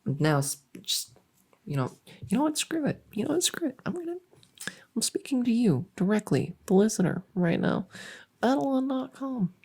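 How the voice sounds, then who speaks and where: A woman playing a friendly, silly monster under the bed in an ASMR roleplay, rambling playfully and softly. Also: on the high side, sing-song, normal speed, creaky, breathy edge, exaggerated voice. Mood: giddy, confiding. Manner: rambling, playful, soft-spoken.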